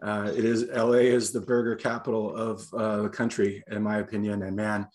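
A man from Los Angeles hosting a podcast interview, talking in a halting way with frequent pauses. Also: fairly low pitch, flat delivery, darkish tone. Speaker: podcast host.

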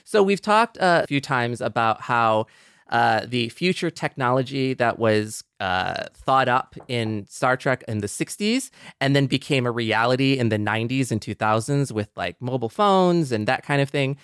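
A man's voice: mid-range voice, animated, moderate pace, fairly bright tone, smooth, dry recording, studio quality. A man hosting a podcast, talking casually and at length with geeky enthusiasm. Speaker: podcast host. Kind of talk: casual chat.

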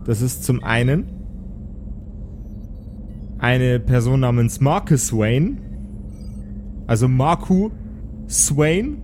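A man hosting and narrating an improvised tabletop audio drama as game master, measured and descriptive. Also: mid-range voice, lively intonation, darkish tone, soft background music. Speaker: game master and podcast host.

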